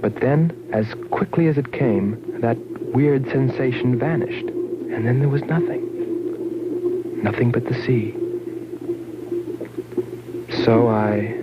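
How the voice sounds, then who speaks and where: A male actor as a pensive space explorer narrating his journey. He is measured and inward-looking, in the manner of 1960s science-fiction film. The soundtrack is muffled, with very loud noise throughout. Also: medium pitch, lightly acted. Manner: reflective, measured. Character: pensive male astronaut explorer recalling an alien sea.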